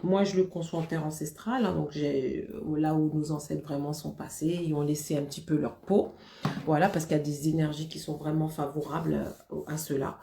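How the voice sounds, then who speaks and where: Woman host, lowish voice, chatty live-stream talk, laughs often, echoey room. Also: steady tone, brisk, creaky, dark tone, slight background noise. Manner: chatty, laughs often, greets viewers by name. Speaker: Vodou priestess hosting a live stream. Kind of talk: casual live-stream chat.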